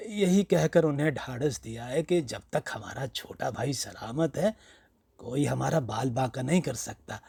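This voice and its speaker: Storybook role read by a man: boastful, flattering old villager, slow and rough-voiced, pleading with familiar emphasis. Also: medium pitch, no room sound, heavily acted. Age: older.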